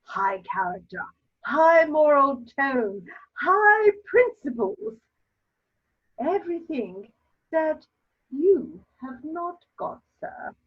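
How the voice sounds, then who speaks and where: A woman's voice: sits high, very animated, drawn-out words, a bit bright, highs cut off, exaggerated voice. A woman playing an imperious, disapproving elderly society matron in a theatrical reading, with a haughty drawl and exclamations. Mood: insistent, flattering. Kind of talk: theatrical dramatic reading of a period comedy.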